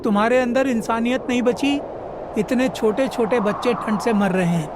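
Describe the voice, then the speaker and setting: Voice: medium pitch, moderate pace, background noise, close to an everyday voice. Voice actor playing an indignant villager. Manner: indignant, reproachful. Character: outspoken adult villager, indignant and firm.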